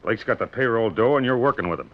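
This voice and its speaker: A man's voice: medium pitch, lively intonation, fast talker, close to an everyday voice. An American actor playing a terse, threatening, suspicious gunman in a 1950s radio crime drama. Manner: terse, threatening, tough-guy slang. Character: menacing, suspicious male gunman and payroll robber. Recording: muffled, noisy 1950s radio recording.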